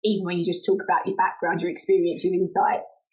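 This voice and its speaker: A woman's voice: fairly low pitch, level tone, fast, muffled recording. A woman hosting a podcast, chatting in an informal and encouraging way as she interviews. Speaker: podcast host. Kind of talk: casual interview chat.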